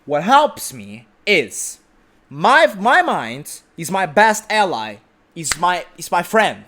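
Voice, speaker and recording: A man's voice: sits high, very animated, slow, bright, forceful tone. A young man giving an emphatic motivational talk, repeating his points and often asking "right?". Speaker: motivational speaker. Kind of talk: motivational monologue.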